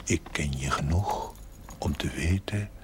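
An actor playing a solemn, mysterious, probing voice of conscience in a 1970s television drama, grave and half-whispered. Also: male voice, very deep voice. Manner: slow, grave, knowing. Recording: noisy old television soundtrack.

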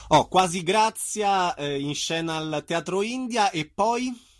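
A man hosting a conversational arts interview, putting measured, informal questions to a guest. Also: high-pitched. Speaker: radio interviewer and host.

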